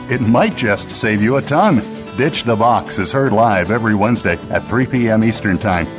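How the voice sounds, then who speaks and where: A man reading radio promos and station announcements in an upbeat, polished announcer manner. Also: mid-range voice. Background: music bed.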